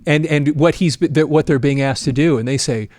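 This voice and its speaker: A man's voice: fairly high pitch, moderate pace, no room sound, clean studio sound. A man hosting a show and telling a story casually to his audience, acting out bits of dialogue as he goes. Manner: animated retelling, voicing quoted dialogue. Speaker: host and storyteller.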